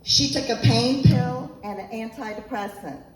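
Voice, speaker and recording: A woman's voice: on the high side, moderate pace, soft background music. A woman, giving an earnest, emphatic talk to an audience as an author at a local event. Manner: earnest, emphatic, repeats points. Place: event room, echoey. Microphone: handheld microphone, at times off mic. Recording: echoey room from far off, faint noise.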